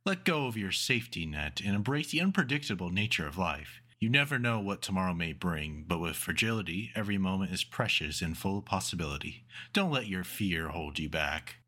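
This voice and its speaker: An actor playing a zealous, emphatic announcer selling hard in a parody radio commercial. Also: male voice, sits low, sing-song, a little fast, hardly any pauses, pushed and bright, exaggerated voice. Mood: enthusiastic selling. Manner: emphatic, salesy.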